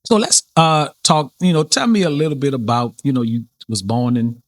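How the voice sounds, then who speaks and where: A man with a New Orleans accent hosting a podcast, talking in a relaxed, rambling way with many fillers and restarts. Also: mid-range voice, moderate pace, dry recording, clean studio sound. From New Orleans, USA. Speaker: podcast host. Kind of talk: casual interview chat.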